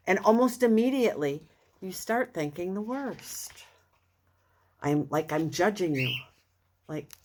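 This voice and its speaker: A woman reading a self-help book aloud as narrator, earnest and deliberate, with expressive emphasis and pauses. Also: lowish voice. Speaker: audiobook reader.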